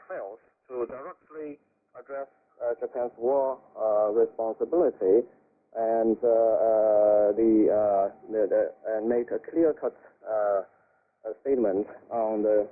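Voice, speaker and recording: A man speaking as a professor interviewed on radio, giving formal political analysis in a deliberate, hesitant way. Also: lowish voice. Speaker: expert guest, professor. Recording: tinny narrow-band shortwave radio.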